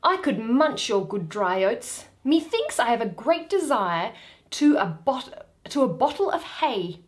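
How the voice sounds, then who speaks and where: A woman's voice: fairly high pitch, big pitch swings, measured pace. Woman playing pompous donkey-headed man, swooping delivery. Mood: hungry, drowsy. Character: Bottom, bumbling self-important man, part donkey, hungry and sleepy.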